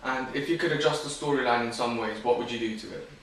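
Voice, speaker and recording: A man's voice: mid-range voice, fast, fairly bright tone, slight background noise. Young man interviewing, very echoey. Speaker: student interviewer. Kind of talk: asking interview questions. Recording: very echoey room, far from mic.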